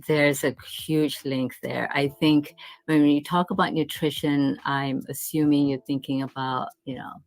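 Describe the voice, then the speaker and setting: A woman from Singapore, a nutrition professor, answering interview questions thoughtfully and candidly, with frequent pauses. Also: low voice, fairly even intonation, no room sound.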